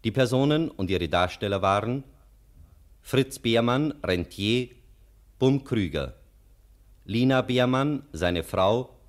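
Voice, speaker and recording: A man with a standard German accent reading a formal, measured scripted announcement as a radio announcer. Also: medium pitch, animated.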